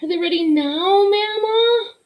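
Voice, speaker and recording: A woman's voice: very high-pitched, measured pace, pitched above the performer's own voice, poor audio. A woman voicing an eager, impatient young grandson in a storybook, with pleading questions.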